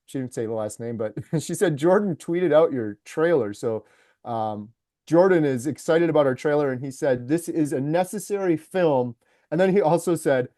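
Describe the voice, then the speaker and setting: A man's voice: on the high side, mellow, no echo, studio quality. A man who makes documentaries, talking casually and eagerly in an interview and filling his speech with "like" and "uh". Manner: enthusiastic storyteller, lots of fillers like "like" and "uh". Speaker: documentary maker and YouTuber.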